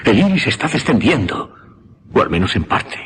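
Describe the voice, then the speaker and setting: An actor playing an earnest, intense mesmerist, speaking in dramatic, halting, repeated phrases in a horror radio drama. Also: male voice, mid-range voice, very animated, fairly bright tone, a little hiss or hum. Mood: tense, intent. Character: earnest mesmerist man, intense, increasingly horrified.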